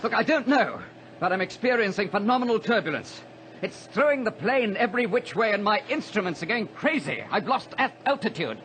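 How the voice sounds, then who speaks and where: A man playing a capable small-plane pilot who fights to stay calm, in a radio adventure drama. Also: high voice, fairly quick. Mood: urgent, desperate. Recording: noisy vintage radio drama recording.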